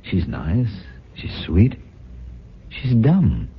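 An actor in a radio drama playing a cynical, greedy son-in-law, smooth-talking and mocking. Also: male voice, on the low side, wildly swooping pitch, extremely slow, full character voice. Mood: cold, gloating. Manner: sardonic, mocking, casual. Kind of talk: radio drama acting and first-person narration. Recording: dull, band-limited old radio recording.

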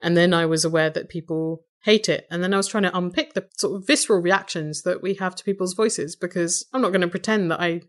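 A woman with an English accent and a low voice with some vocal fry, talking thoughtfully and wryly as a guest in a conversational interview. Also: steady tone, fast talker, hardly any pauses. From Britain. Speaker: podcast host, interview guest.

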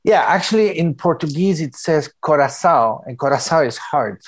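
Middle-aged guy raised in spain, english, lively chatty music producer, keeps repeating words. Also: on the high side, fairly quick. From born in Belgium, raised in Spain. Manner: animated, laughing, repeats words. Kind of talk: casual interview chat.

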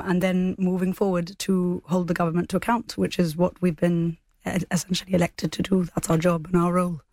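A woman's voice: on the low side, a little mellow, no room sound, clean studio sound. A woman speaking as a political guest in a formal interview, earnest and careful with her phrasing.

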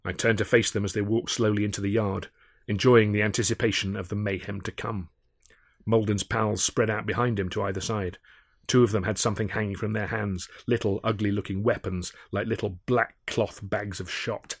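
A man reading a scripted first-person comic adventure, wry and expressive as a storyteller. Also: on the low side, moderate pace, creaky, no room sound, studio quality. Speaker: author narrating own audiobook.

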